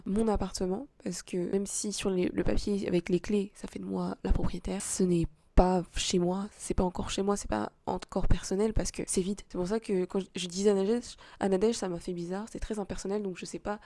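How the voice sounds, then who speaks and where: A woman's voice: mid-range voice, mellow, no room sound. Young woman vlogging, emotional, keeps restarting, dry close sound. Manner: emotional, hesitant, many restarts. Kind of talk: confessional vlog. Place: small apartment, then a café.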